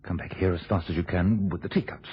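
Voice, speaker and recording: An actor playing a scheming, controlling husband in a radio thriller, instructing with quiet urgency. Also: male voice, sits low, steady tone, fast, a little hiss or hum, lightly acted. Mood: urgent, scheming. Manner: urgent, instructing, hushed asides to an accomplice. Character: scheming husband, controlling, quick-thinking, coolly plotting a deception. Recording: muffled, dull old radio drama recording.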